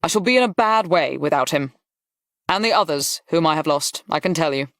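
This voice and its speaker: A narrator voicing a brisk, blunt aristocratic general in an audiobook, with clipped, emphatic phrasing. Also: mid-range voice, animated, fairly bright tone, no room sound, clean studio sound. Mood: heartbroken, grave. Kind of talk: audiobook character dialogue.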